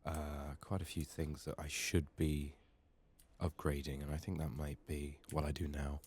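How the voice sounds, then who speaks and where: A man speaking softly and sometimes whispering, muttering his thoughts aloud as he commentates a game for ASMR. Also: a bass voice, level tone, normal speed, husky. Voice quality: hushed, soft, sometimes slipping into whisper. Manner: muttering, thinking out loud. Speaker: gaming video host. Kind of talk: soft-spoken ASMR game playthrough commentary.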